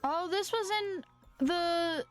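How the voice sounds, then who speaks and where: A woman's voice: very high voice, slow, soft background music. A woman streamer giving casual live reactions while she plays a game.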